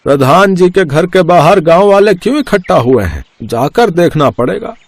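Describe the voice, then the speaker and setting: A man's voice: sits high, very animated, normal speed, no room sound, full character voice. A man playing a humble, cautious village father in a dramatized story, speaking earnestly. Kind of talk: dramatized moral story acting.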